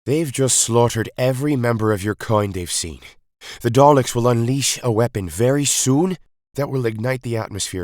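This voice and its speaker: A man's voice: fairly low pitch, no echo, studio quality, pitched above the performer's own voice, lightly acted. A man voicing a grave, emphatic Time Lord hero in an audio story, urgent and commanding.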